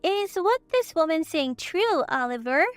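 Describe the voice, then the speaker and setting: A woman's voice: very high voice, sing-song, a little slow, no echo, soft background music, pitched above the performer's own voice, full character voice. A woman voicing a dramatic, demanding older mother-in-law in a narrated family story. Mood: indignant.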